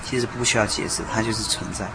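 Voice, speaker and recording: Man, lowish pitch, very quick pace, earnest faith discussion, persuasive, repetitive emphasis. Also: steady tone, noisy background. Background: background music.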